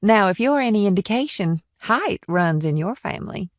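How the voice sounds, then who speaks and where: A woman's voice: mid-range voice, lively intonation, normal speed, no echo, natural voice. A well-off married mother in an audiobook thriller, acted by a woman, arch and breezy with a defensive edge. The recording is dry, with hardly any room sound. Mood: prying.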